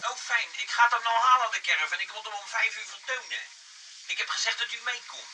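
An actor playing a smooth-talking young swindler in a radio comedy, with chummy, folksy, hesitant sales patter. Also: male voice, sits high, quick pace, a loud crowd, natural voice. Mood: conspiratorial, hurried. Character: smooth-talking young male swindler posing as a famous entertainer. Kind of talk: comedy radio play acting. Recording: noisy old radio play recording, clicks.

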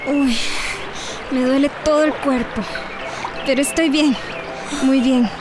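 A woman's voice: sits high, steady tone, takes it slowly, noisy background. An actress playing a brave, reassuring young woman, someone's daughter, in a radio soap. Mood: aching, reassuring. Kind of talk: radio soap opera acting.

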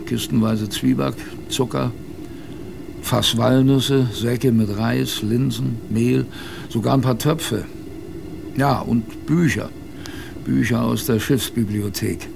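A man playing a plainspoken expedition survivor, telling his story in a wry, colloquial, gravelly radio drama narration. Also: mid-range voice, steady tone, slow, noisy background. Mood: wry, reminiscing. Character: plainspoken male polar expedition survivor recalling hardship, wry.

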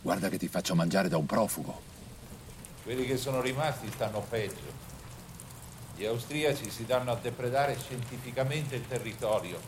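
A man reading scripted historical narration for a documentary film in a measured storytelling manner. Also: medium pitch, background noise.

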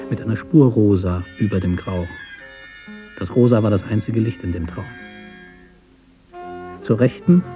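A man narrating a literary story for radio in a measured, deliberate way. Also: lowish voice.

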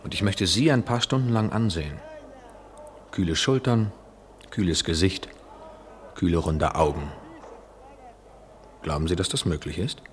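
An actor playing a restless, wry man travelling abroad, measured and poetic, in a noisy 1970s radio play recording. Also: sits low. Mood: musing. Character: restless, wry European traveller, aloof yet attracted man.

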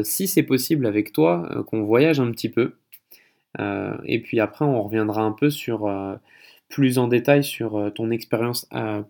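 A man's voice: medium pitch, creaky, darkish tone. A man hosting a casual conversational interview, speaking in a rambling, hesitant way. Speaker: podcast host interviewing a guest.

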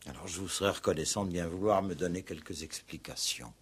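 A man playing a gruff, insistent police commissioner in a 1960s French-language radio play, with colloquial, probing talk and hesitant repetitions. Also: low-pitched, fast. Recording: vintage 1960s radio drama recording.

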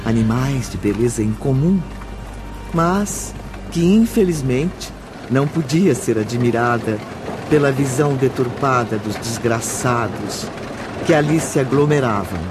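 A Brazilian man narrating a spiritual radio drama solemnly, with measured dramatic pauses. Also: mid-range voice, animated, natural voice. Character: solemn male storyteller of a spiritual tale. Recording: music bed behind the voice.

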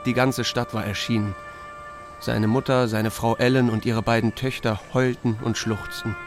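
A man plays a small-town sheriff narrating an audio drama in a medium-pitched voice. He is laconic, dry and understated, and music plays clearly behind him. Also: flat delivery, moderate pace, natural voice. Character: laconic small-town male sheriff telling his story. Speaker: narrator and lead actor. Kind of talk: first-person audio drama narration with dialogue.

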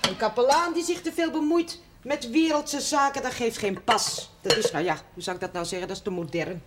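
An actress playing a nosy, scolding middle-aged housekeeper to a priest in a 1970s TV drama. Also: female voice, fairly high pitch, brisk, natural voice. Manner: reproachful, gossipy. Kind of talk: 1970s television drama acting. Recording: noisy TV soundtrack.

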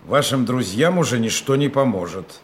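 An actor playing a firm, formal royal officer in a radio drama, speaking in an official manner. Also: male voice, mid-range voice, lively intonation, moderate pace, a little hiss or hum, lightly acted. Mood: stern. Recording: music under radio play. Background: music.

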